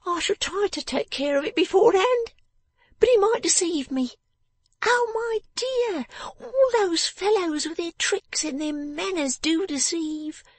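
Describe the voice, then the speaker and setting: A woman voicing a sharp-tongued, bossy, vengeful young woman in a storybook, with emphatic, snapping speech. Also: very high voice, expressive, unhurried, dry recording, voice pushed up. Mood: brooding, vengeful. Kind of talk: dramatised storybook reading.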